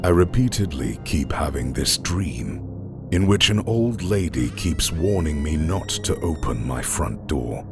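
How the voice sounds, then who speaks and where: A man reading scripted horror stories as a narrator, in a deliberate, suspenseful way with drawn-out phrase endings. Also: extremely low pitch, animated. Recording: music under the voice. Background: background music.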